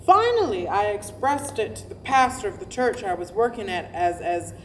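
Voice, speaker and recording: A woman playing an earnest female pastor reflecting in a verbatim theatre monologue, halting and thoughtful as she goes. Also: medium pitch, very animated, natural voice. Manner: halting, thoughtful, self-correcting. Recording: faintly noisy live staged reading.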